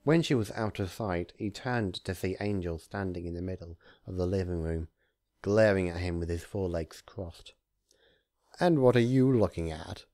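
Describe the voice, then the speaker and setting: A man reading a story aloud as narrator, in an expressive scripted storytelling style. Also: deep voice, normal speed, no room sound, clean studio sound. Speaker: narrator, fan fiction reader.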